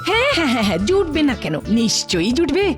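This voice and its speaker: A bossy cartoon village wife, very high in pitch and wildly animated. She scolds with sharp sarcasm in folk-tale style, with music behind her voice. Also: fast. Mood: nagging.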